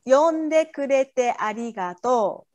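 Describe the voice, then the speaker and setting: A woman's voice: high-pitched, lively intonation, moderate pace, a little mellow. A native Japanese woman with a Japanese accent, tutoring casually phrase by phrase in brief turns with small acknowledgements. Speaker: language tutor.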